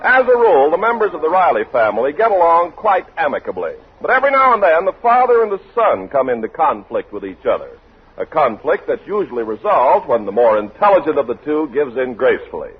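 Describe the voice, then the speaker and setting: A man's voice: on the high side, very animated, brisk, husky. A man announcing a sponsored 1940s radio show with polished, theatrical scripted delivery. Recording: tinny 1940s radio broadcast with music.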